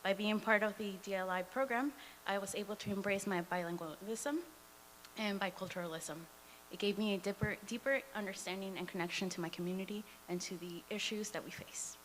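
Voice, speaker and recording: Young bilingual teacher giving public comment. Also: female voice, mid-range voice, steady tone, a little fast, a bit bright, a little hiss or hum, low-quality recording. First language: Spanish. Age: 20s. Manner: earnest, reading with occasional fillers. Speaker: dual immersion teacher. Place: school board meeting room.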